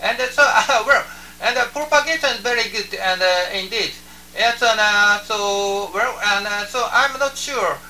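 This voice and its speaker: A man with a high-pitched, very sharp voice and a Japanese accent works a ham radio contact. He speaks haltingly, repeating his phrases. He sounds far from the microphone, with radio noise humming around him. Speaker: amateur radio operator. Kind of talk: ham radio contact chat with phonetic call signs. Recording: noisy shortwave radio setup, distant microphone, hum.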